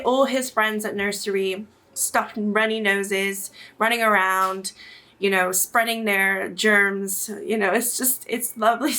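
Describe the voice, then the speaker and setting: A woman's voice: medium pitch, fairly bright tone. A young adult woman with a stuffy nose from a cold, chatting casually to camera about her makeup in a rambling, self-deprecating way. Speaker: beauty vlogger.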